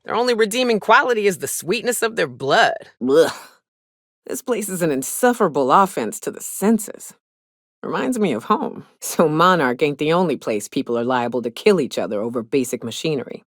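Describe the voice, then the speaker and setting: A woman voicing a gruff, tough but caring frontier monster hunter in a video game, with wry, folksy, blunt delivery. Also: low voice, animated, normal speed, fairly bright tone, dry recording, studio quality. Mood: wry, sardonic. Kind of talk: video game character dialogue.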